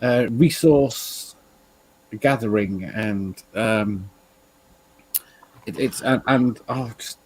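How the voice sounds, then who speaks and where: A man with an English accent in casual, rambling discussion, halting and repeating himself, swearing freely. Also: fairly low pitch, a little hiss or hum, rough recording. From England. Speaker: talk show participant.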